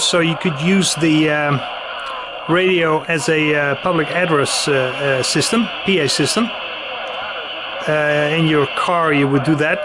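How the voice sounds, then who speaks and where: Male demonstrator, high-pitched voice, casual and matter-of-fact explainer, with clear radio hiss behind him. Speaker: presenter demonstrating vintage radio gear. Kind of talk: casual hands-on product demonstration. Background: CB radio noise and chatter.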